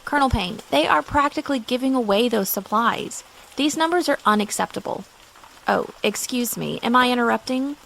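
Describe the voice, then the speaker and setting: An indignant, haughty supplier woman complains in a high, swooping voice. Her tone carries a gravelly edge. A faint hiss runs behind her. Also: expressive, normal speed, fairly bright tone, low-quality recording.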